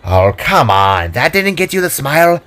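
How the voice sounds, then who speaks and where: A man's voice: fairly high pitch, big pitch swings, moderate pace, fairly bright tone, soft background music, voice pushed up. Man voicing upbeat, coaxing female fox spirit. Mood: playful. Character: upbeat, caring female kitsune fox, elder sister.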